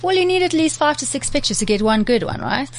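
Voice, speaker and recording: Woman, fashion blogger guest, relaxed and agreeable, casual chat. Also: high voice, fast.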